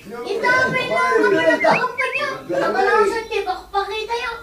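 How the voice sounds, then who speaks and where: An excitable young campaign supporter with an extremely high voice. It is radio comedy drama, heard echoing from a distance through loud noise. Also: female voice, a little slow. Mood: chatty, curious. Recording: echoey, distant, very noisy.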